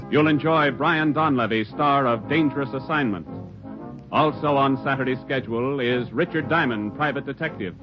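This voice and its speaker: A man with an American accent reading scripted announcements as an old-time network radio announcer. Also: medium pitch. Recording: muffled old radio recording with music.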